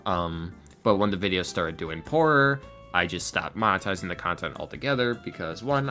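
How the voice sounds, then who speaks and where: Low-voiced man chatting over music. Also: fairly bright tone. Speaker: interview guest, ASMR roleplay video creator. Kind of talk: casual podcast conversation.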